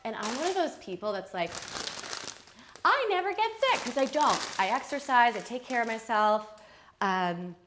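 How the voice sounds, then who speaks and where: A woman's voice: moderate pace, noisy background. A woman hosting a live shopping stream, chatting casually while unboxing, her voice nasal and stuffy from a head cold, joking and teasing herself as she goes. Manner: chatty, jokey, self-teasing, lively swings in pitch and loudness.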